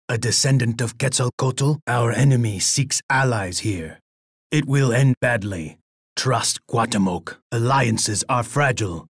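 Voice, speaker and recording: An actor playing a proud, menacing Aztec emperor in a video game, boastful and imperious, speaking with pompous theatrical weight. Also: male voice, mid-range voice, breathy edge, dry recording, studio quality. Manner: pompous, speaks of himself in the third person. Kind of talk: dramatic video game character lines.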